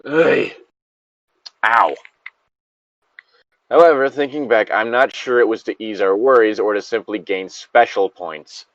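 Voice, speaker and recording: A man's voice: lowish voice, measured pace, long pauses, dry recording, muffled recording. A man co-hosting a read-aloud with casual, sweary, self-deprecating commentary. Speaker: co-host reading a story aloud.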